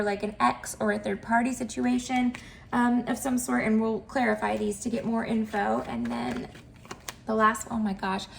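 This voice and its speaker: Chatty woman with a stuffy, gravelly voice. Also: on the high side, slight background noise. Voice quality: cracky, nasal. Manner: chatty, upbeat, many fillers. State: mild cold, nasal and stuffed up. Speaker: tarot reader. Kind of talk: casual tarot reading to camera.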